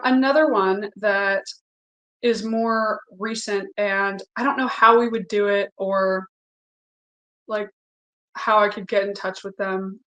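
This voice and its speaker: A woman's voice: mid-range voice, pushed and bright, poor audio. A young woman singer as an interview guest, talking openly in casual chat and laughing often. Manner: open, chatty, laughs often.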